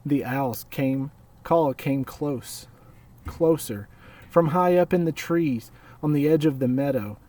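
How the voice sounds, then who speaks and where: Male storybook reader, slow and measured, recorded a little way off the mic. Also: fairly high pitch, mellow, distant mic. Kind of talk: read-aloud children's storytelling.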